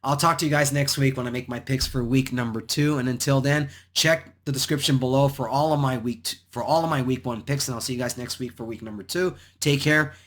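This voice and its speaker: A man with a hoarse voice hosting his own channel, rambling through his football game picks with many repeats and restarts. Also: medium pitch, fairly even intonation, fairly quick, hardly any pauses, a bit bright. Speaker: sports picks channel host. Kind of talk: solo football predictions talk.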